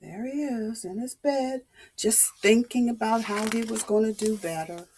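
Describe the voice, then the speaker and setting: A woman's voice: on the high side, a little slow, darkish tone, slightly rough, low-quality recording. A woman telling a story to young children in a dramatic way, asking questions and repeating things to explain them.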